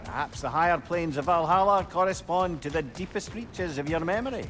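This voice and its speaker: A man's voice: a little high, lively intonation, a little fast, a bit bright, a little hiss or hum. A man playing a fretful, thoughtful companion in a video game, talkative, wordy and reflective. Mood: musing.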